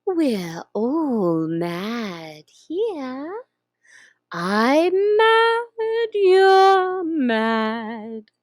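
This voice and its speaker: A woman putting on a sly, slow-drawling storybook cat. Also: sits high, sing-song, no room sound, studio quality, pitched above the performer's own voice, exaggerated voice. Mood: teasing. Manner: slow, drawn-out, teasing delivery. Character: sly grinning cat, teasing and mysterious. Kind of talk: storybook reading.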